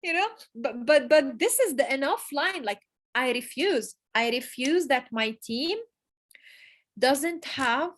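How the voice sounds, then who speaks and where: A woman giving an emphatic motivational talk to her business team, repeating key phrases and pausing for effect. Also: fairly high pitch. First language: Arabic. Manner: emphatic, repetitive, pauses for emphasis. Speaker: network marketing leader giving a motivational talk. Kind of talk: motivational speech.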